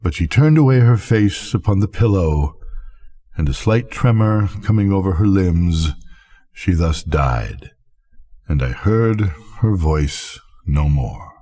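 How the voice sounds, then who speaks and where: An older man with a husky voice reads a gothic literary story in a solemn, measured manner. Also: deep voice, expressive, dark tone. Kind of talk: scripted literary narration, gothic bedtime story reading.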